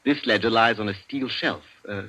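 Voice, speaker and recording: An actor playing a suave, cunning captive in a 1940s radio drama, politely measured and drily ironic, with small hesitant pauses. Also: male voice, fairly low pitch, pushed and bright. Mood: smugly calm. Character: suave, cunning male captive, inventor of a trap safe. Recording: dull, lo-fi 1940s radio recording.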